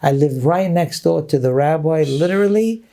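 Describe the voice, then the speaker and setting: A middle-aged rabbi from Brooklyn, telling personal stories as a guest in earnest, reminiscing talk sprinkled with Hebrew phrases. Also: male voice, a little high, moderate pace, vocal fry, warm tone. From Brooklyn, New York. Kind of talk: storytelling interview talk.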